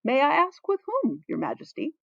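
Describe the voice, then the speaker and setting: Woman as young male court tutor, fussy, sarcastic, formally polite, theatrical, animated intonation, storybook reading. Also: high-pitched, moderate pace, gravelly, no room sound, dull audio, pitched above the performer's own voice, full character voice. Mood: stunned, probing.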